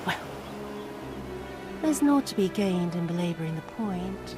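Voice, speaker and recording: A woman's voice: lowish voice, expressive, measured pace. A woman playing a cruel, sadistic and haughty imperial overlord in a video game, speaking with theatrical contempt and drawling menace. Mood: cold menace. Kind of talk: dramatic video game voice acting. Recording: loud music behind the voice.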